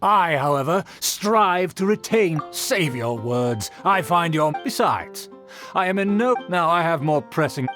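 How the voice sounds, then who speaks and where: A temperamental aristocrat from a video game. The voice is fairly high and theatrically varied, pompous and condescending, with formal diction. Faint music sits behind it. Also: male voice, lively intonation, a little slow, fairly bright tone. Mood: disdainful. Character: haughty, temperamental aristocrat man of declining clan. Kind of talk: video game character acting.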